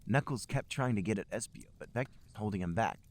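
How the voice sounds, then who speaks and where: A man's voice: on the low side, expressive, fast talker. A man co-hosting a podcast, narrating amateur fan fiction aloud.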